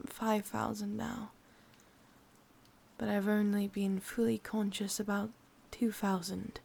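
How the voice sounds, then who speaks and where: A woman with an English accent voicing an ancient, lonely, sadistic witch in a breathy half-whispered ASMR roleplay, speaking slowly with drawn-out pauses. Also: medium pitch, darkish tone. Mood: aloof. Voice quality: breathy, often slipping into whisper. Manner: slow, halting, drawn-out delivery with pauses.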